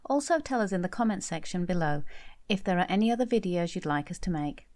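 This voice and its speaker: A woman's voice: fairly low pitch, expressive, fast talker, darkish tone. A woman presenter reading a scripted outro for English lesson videos.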